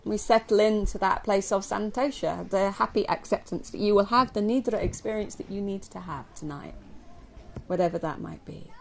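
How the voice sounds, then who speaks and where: A woman from England leading a guided yoga nidra meditation for a group, speaking gently and slowly with long pauses. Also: medium pitch, creaky, poor audio. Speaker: yoga nidra teacher guiding a relaxation. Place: live group session at a camp. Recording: steady ambient noise, live recording.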